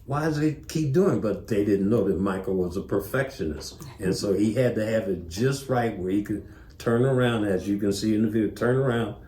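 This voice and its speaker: Older man, low mellow echoey voice. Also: moderate pace. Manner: acts out remembered dialogue as he narrates. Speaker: interview guest, former tour manager. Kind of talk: casual anecdotal storytelling. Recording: roomy, echoing interview sound.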